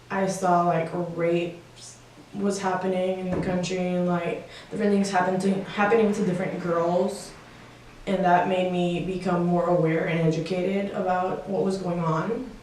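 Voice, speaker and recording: Woman, 19, from Belize, calm, lowish flat voice, distant and echoey. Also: unhurried, slight background noise. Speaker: interviewee.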